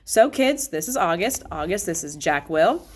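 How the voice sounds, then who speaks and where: A woman's voice: lowish voice, very animated, moderate pace, darkish tone. Storybook school director, adult man, upbeat and welcoming, chatty delivery, read by a woman. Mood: chatty, friendly. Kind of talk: children's novel read aloud.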